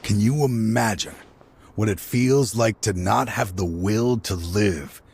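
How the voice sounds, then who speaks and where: A grieving old father in a game cutscene, his voice very deep and his pace slow and heavy. He sighs often and sounds worn down by regret. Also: vocal fry, a little mellow, husky, full character voice. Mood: weary regretful. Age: older. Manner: weary, slow, sighing. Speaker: video game character.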